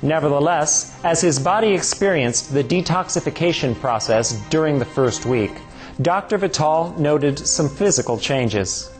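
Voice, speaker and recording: A man narrating a documentary, in a measured, scripted style. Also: fairly high pitch, animated, soft background music, poor audio.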